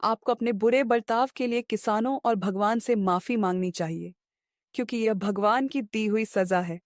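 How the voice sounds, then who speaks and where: A woman's voice: mid-range voice, moderate pace, no echo, clean studio sound, lightly acted. A woman playing a devout, worried village wife and mother in a moral cartoon story, speaking in an earnest, reproachful, pleading way.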